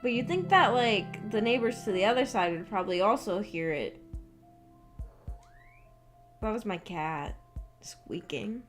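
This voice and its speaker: A woman livestreamer chatting casually with viewers in a giggly, rambling way, peppering her talk with "like". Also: on the high side. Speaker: livestreamer, visual novel book club host. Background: background music.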